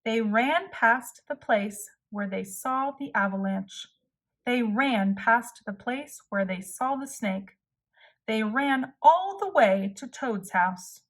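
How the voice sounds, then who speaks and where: A woman narrating a children's storybook aloud with deliberate pauses and a storytelling delivery. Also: on the high side, animated. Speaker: storybook reader.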